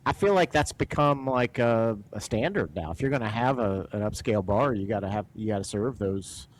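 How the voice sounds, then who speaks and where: A man's voice: fairly low pitch, lively intonation, brisk, a little hiss or hum. A man chatting easily as a podcast co-host. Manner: easygoing, chiming in. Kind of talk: casual chat.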